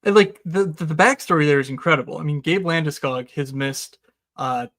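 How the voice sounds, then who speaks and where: A man in a casual podcast chat explains strategy eagerly, with plenty of "uh" and "you know." His voice sits slightly high. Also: a bit bright. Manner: fast, enthusiastic, explanatory, many fillers. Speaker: recurring guest analyst.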